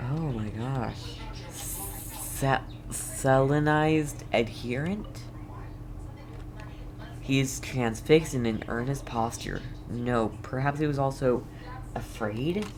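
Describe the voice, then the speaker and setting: A man giving casual, excitable and reactive commentary as he plays a video game. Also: mid-range voice, background noise. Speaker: game streamer.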